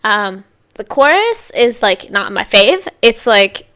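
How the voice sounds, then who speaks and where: A woman hosting a music reaction video, talking casually in rambling, filler-heavy sentences with bursts of excitement. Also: fairly high pitch, slow pace. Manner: chatty, rambling, many likes and you-know-what-I-means.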